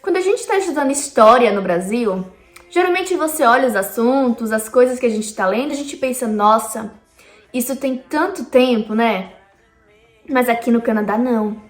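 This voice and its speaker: Brazilian woman vlogger, higher voice, chatty and direct with viewers; echoey room, faint music under. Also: normal speed. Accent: Brazilian Portuguese. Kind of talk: casual explainer vlog. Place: lives in Canada.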